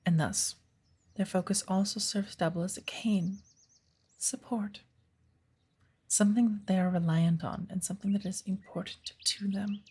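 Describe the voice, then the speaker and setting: Woman playing a husky, hushed woodland witch mentor. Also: mid-range voice, fairly even intonation. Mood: patient, instructive. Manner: measured, deliberate, formal, often hushed and breathy. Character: patient witch mentor of the woods, gently teaching magic. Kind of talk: ASMR roleplay.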